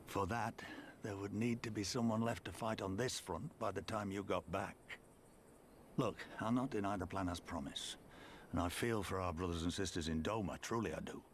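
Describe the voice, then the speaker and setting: An actor playing a bitter, grieving resistance officer in a video game, weary and impassioned. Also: male voice, lowish voice, moderate pace, a little hiss or hum, natural voice. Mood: doubtful. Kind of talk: dramatic video game voice acting. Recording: game music behind the voice.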